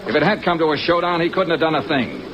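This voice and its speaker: An actor playing a hard-boiled, cynical American insurance investigator, terse, sardonic and blunt, in a mid-century radio detective drama. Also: male voice, fairly high pitch, lively intonation, quick pace, crowd noise, lightly acted. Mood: irritated, sarcastic. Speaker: lead detective and first-person narrator. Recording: muffled old radio recording with loud music.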